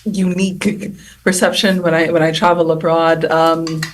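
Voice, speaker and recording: A woman with a fairly low voice talks as a guest on a roundtable panel. She is chatty and animated, restarting her sentences and filling pauses with ums. Her voice comes across from a little distance off the mic. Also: slight background noise. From Bahamas. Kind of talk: roundtable discussion.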